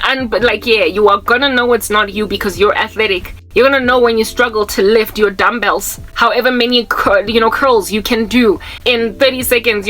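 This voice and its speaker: A woman's voice: mid-range voice, a bit bright, poor audio. A South African woman in her thirties, a preacher talking loosely to camera, rambling and repeating herself as she mixes in Zulu words. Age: 30s. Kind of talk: casual spiritual talk to camera.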